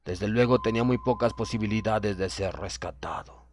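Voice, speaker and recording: A man narrating a horror story in the first person, in a measured, formal, dramatic manner. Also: lowish voice. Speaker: audiobook narrator. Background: music.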